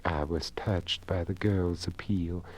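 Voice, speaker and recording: A man voicing an earnest, elderly deaf lady in a story, with a hushed, measured, genteel delivery. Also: low-pitched, steady tone. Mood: hushed, sober.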